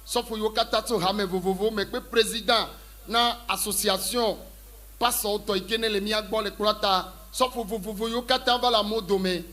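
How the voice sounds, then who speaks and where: A man's voice: high voice, fairly even intonation, moderate pace, sharp tone. A man, interpreting live at a religious crusade, phrase by phrase.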